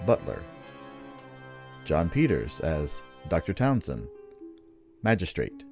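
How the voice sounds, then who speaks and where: Deep, swooping old-time radio announcer man. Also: darkish tone. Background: music.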